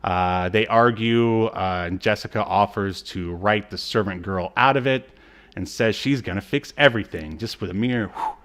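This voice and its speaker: A man co-hosting a podcast, recapping in a casual, halting, conversational way that trails off. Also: on the low side, fairly bright tone. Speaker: podcast co-host. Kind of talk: casual chat, recapping a TV episode.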